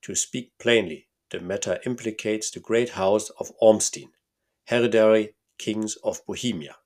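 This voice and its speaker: A man voicing a huge, masked male nobleman with a deep, harsh voice and a German accent, speaking formally and emphatically. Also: normal speed, a little mellow. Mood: imperious.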